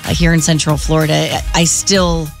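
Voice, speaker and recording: A woman's voice: deep voice, level tone, a little fast, faint music behind. A woman from Taiwan co-hosting a morning radio show in a studio, sharing memories in an earnest, casual way.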